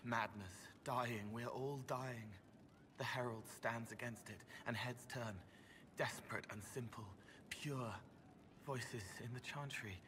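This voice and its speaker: A man voicing a gentle, otherworldly young male spirit in a video game, speaking in fragmented, poetic, halting phrases. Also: lowish voice, noisy background, close to an everyday voice. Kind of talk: dramatic video game voice acting.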